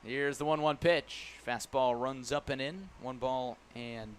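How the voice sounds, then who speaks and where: A man calling a baseball game live on radio as the play-by-play announcer, describing each pitch in detail. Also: unhurried. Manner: descriptive, excited calls rising on big plays. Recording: clear background noise at ballpark. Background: ballpark ambience.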